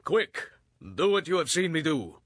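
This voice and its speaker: An actor playing a fierce, proud warlord barking orders in a husky voice in a dramatized audio Bible. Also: male voice, fairly high pitch, very animated, normal speed, a bit bright, dry recording, clean studio sound. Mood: urgent command.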